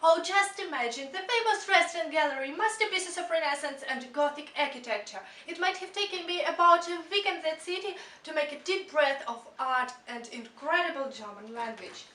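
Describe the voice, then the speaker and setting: A woman's voice: high voice, expressive, moderate pace, hardly any pauses, bright, forceful tone, slight background noise. A teenage girl giving a rehearsed student presentation in an earnest way. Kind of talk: recited speech presentation. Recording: roomy, from a distance.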